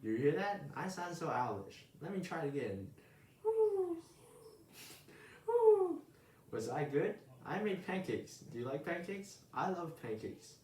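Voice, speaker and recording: A man voicing an eager young female bird who chatters with excited questions. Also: a little high, voice pushed up. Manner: bubbly rapid questions. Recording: roomy echo.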